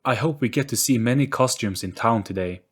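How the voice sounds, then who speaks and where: A man's voice: medium pitch, brisk, husky, natural voice. A man voicing a hopeful, cheerful young girl in an audio story, speaking earnestly.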